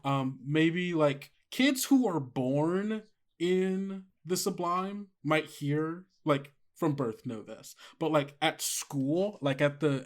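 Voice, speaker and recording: A man running a tabletop game, improvising narration and thinking aloud in a conversational way. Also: a little high. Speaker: game master.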